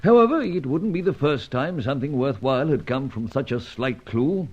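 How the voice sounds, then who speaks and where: An actor playing a stuffy, suspicious older British spy chief, speaking in a formal, deliberate way in an old radio drama. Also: male voice, mid-range voice, animated, a little mellow, natural voice. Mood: resigned, wry. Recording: dull lo-fi old radio recording.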